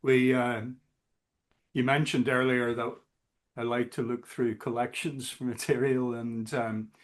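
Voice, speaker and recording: A man talking as a guest musician in a casual interview, halting often with fillers. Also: medium pitch, little pitch movement. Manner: slow, halting, many fillers.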